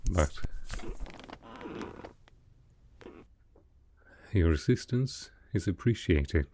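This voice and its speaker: A man playing a sickly, proud, melancholy aristocrat in a roleplay audio drama, speaking softly in a formal, measured, dryly reserved way. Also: lively intonation. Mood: frail, reluctantly grateful. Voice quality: low, soft, with a cough.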